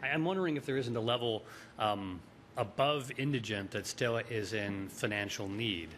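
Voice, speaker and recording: A man with a lowish voice raises a question at a committee meeting. He hesitates and restarts as he searches for words. Faint room noise is heard behind him. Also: moderate pace, fairly bright tone. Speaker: committee member. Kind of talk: meeting discussion. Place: city council meeting room.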